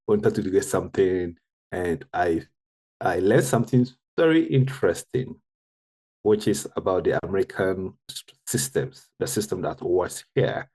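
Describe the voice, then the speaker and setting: A man's voice: low-pitched, measured pace, mellow. A man from Ghana, speaking as a tech founder on a roundtable, earnest and often restarting to correct himself. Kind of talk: roundtable discussion.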